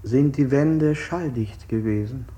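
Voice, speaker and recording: An actor playing a calm, insistent questioner in a stylized 1960s radio play, with formal, measured delivery. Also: male voice, mid-range voice, close to an everyday voice.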